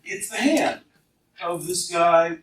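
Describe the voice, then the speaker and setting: A man's voice: high-pitched, takes it slowly, a bit bright. A man playing a gargoyle hero who tells of old battles in a casual way, in a live radio play. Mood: wry storytelling. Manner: casual storytelling. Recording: roomy, noisy, distant live recording.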